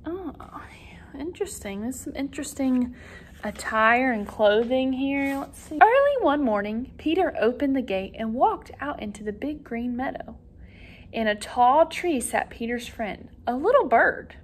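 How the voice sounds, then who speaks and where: A woman with a high voice teaches music to young children online. Her delivery is expressive, with lively, wide swings in pitch as she talks to the class and reads stories aloud. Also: measured pace. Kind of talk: children's online lesson and story read-aloud.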